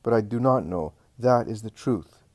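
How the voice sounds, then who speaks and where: A man's voice: on the low side, animated, normal speed, darkish tone, dry recording, clean studio sound. A man voicing an anguished, frightened woman who protests and pleads in an agitated way, in a dramatic story reading. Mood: hesitant, subdued.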